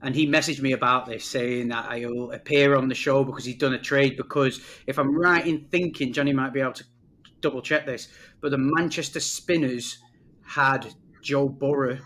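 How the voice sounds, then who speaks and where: A man co-hosting a fantasy football podcast, telling stories in a relaxed, chatty way. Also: mid-range voice, moderate pace. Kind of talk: casual chat.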